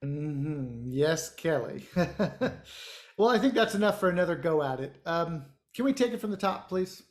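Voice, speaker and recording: A man playing a brusque, condescending theater director in a naturalistic online play, talking in halting, hedging phrases. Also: a little high, lively intonation, natural voice. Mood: impatient, fumbling. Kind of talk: naturalistic virtual stage acting.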